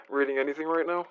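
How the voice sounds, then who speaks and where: A man's voice: a little high, fairly bright tone, lo-fi sound, slight background noise, natural voice. A man playing a polite, friendly former agent on night shift in an audio drama, respectful and a little hesitant. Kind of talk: naturalistic audio drama dialogue.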